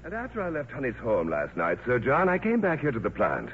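A man's voice: medium pitch, huge pitch swings, brisk, husky, soft background music, heavily acted. An actor playing a courteous, concerned and persistent male investigator in a 1950s radio drama, polite and a little hesitant. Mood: deferential, persistent. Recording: narrow, noisy 1950s radio recording.

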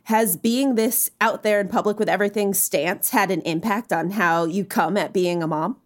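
A woman interviewer puts her questions in a measured, sympathetic way. Her pitch sits mid-range for a woman.

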